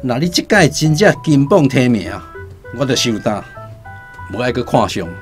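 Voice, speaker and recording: An actor in a radio drama playing a seasoned fortune teller, solemn and fatalistic, making deliberate pronouncements. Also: male voice, mid-range voice, very animated, faint music behind, natural voice. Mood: assured. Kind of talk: Taiwanese radio drama acting.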